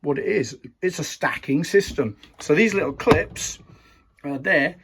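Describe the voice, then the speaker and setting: A man with a croaky voice, hosting a casual tool review video in a chatty, rambling way and laughing at himself. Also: fairly high pitch, a little slow, background noise. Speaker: YouTube channel host.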